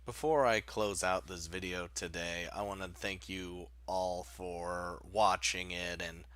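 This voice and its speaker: A man's voice: low-pitched, steady tone, a little slow, a bit bright, clean tone. A man hosting a casual countdown video, rambling informally as he talks. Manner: rambling, informal, chuckles often. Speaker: video host. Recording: music playing behind the voice. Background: background music.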